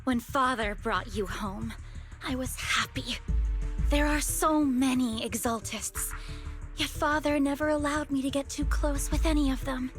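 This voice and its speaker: Bitter young game heroine, grieving, high voice, wounded and accusatory, slow delivery, music underneath. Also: female voice. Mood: wistful, confessional. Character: bitter, grieving young woman raised inside a cult. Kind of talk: dramatic video game voice acting.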